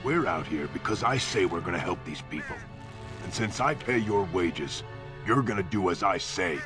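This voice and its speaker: An actor playing a blunt, scornful dwarf mercenary boss with a gruff, rough voice in a video game. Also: male voice, deep voice, animated, moderate pace, music in the background. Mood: stern, bossy. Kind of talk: video game character acting.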